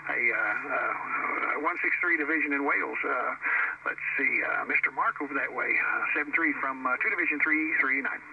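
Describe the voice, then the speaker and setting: A man's voice: medium pitch, vocal fry. Man over noisy, muffled radio. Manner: halting, repeats phrases. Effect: radio transmission. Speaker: radio operator. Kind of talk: casual amateur radio chat. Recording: noisy, muffled, distant shortwave radio transmission.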